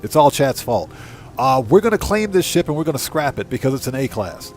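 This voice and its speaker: A man's voice: medium pitch, very animated, brisk. A man doing casual live game commentary, talking informally to his viewers and repeating phrases for emphasis. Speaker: live streamer and channel host. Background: music.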